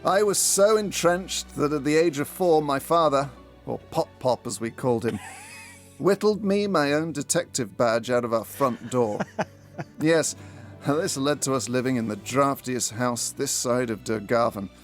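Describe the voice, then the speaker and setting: An actor playing an eccentric detective inspector in a comic audio drama, pompous and given to sentimental storytelling. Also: male voice, medium pitch, expressive, a little slow, soft background music, close to an everyday voice. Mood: wistful reminiscing.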